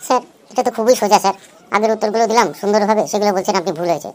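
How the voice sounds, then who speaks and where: A woman's voice: medium pitch, fairly even intonation, rapid-fire, darkish tone, lightly acted. A woman voicing a cheeky young schoolboy in a comedy cartoon, glib and pleading with excuses. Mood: confidently silly. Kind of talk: Bengali comedy cartoon dub.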